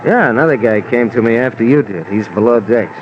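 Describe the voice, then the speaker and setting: An actor playing a blunt, laconic schooner captain in a 1950s radio drama. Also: male voice, medium pitch, expressive, brisk, lightly acted. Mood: dry, blunt. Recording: muffled old radio, noisy background.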